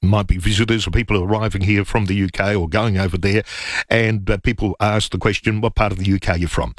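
A man's voice: on the low side, fairly even intonation, a little fast, no room sound, clean studio sound. A man hosting talkback radio, teasing and sarcastic in casual banter.

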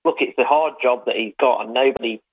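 Hesitant Midlands caller on a phone line. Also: male voice, mid-range voice, fast. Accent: English Midlands. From English Midlands. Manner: hesitant, full of restarts. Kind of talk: casual phone-in chat.

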